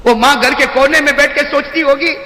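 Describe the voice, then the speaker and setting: A man preaching a fervent sermon who often shouts, then drops to a hushed low voice, calling the crowd his friends. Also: fairly even intonation, fairly quick, soft background music. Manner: addresses listeners repeatedly as "my friends". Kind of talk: impassioned religious sermon. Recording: echoey hall with long reverb.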